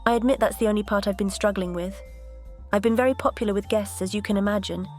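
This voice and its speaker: A woman voicing a composed vintage aircraft character, telling her story in a measured, earnest cartoon dialogue style. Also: mid-range voice, soft background music, natural voice. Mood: polite, gentle. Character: composed, bitter but grateful female vintage jet museum exhibit.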